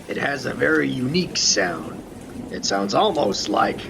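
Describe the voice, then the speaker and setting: Man acting a creaky, musing lighthouse keeper. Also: mid-range voice, big pitch swings, a little slow. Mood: leading, mysterious. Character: calm, wise old lighthouse keeper, musing and mysterious. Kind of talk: student radio play acting. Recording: rain and thunder noise behind. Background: rain and thunder.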